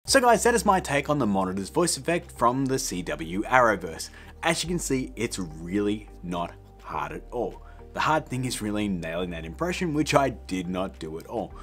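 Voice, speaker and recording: A man's voice: fairly low pitch, sing-song, normal speed, a bit bright. A man, hosting a casual tutorial in a chatty, self-deprecating way. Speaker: host of tutorial videos. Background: background music.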